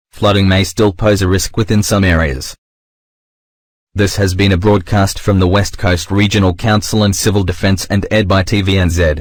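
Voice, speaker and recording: A man voicing a formal, stern emergency broadcast announcer, reading a scripted alert in a measured, impersonal manner. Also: low voice, no echo, natural voice.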